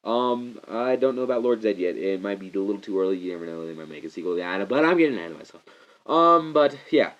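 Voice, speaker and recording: Congested young man rambling excitedly. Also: lowish voice, fast talker. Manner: rambling, excitable, restarts sentences often. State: congested from a cold. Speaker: movie reviewer. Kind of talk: casual rambling movie review. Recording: slightly muffled lo-fi recording.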